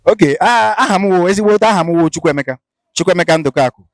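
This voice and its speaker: Nigerian igbo guy hosting a street interview, lively, mixes pidgin, dry mic. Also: sits high, very fast, dry recording. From Imo State, Nigeria. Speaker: interviewer and show host. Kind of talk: field interview.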